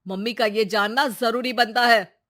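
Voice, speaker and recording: A woman voicing a stern, authoritative father in a family story, loudly scolding. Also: fairly high pitch, fairly even intonation, normal speed, close to an everyday voice. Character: stern father reprimanding his son, authoritative.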